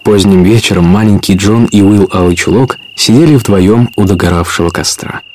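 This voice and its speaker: A man's voice: low-pitched, normal speed, darkish tone, slight background noise. A man narrating an adventure audio play in a scripted storytelling style.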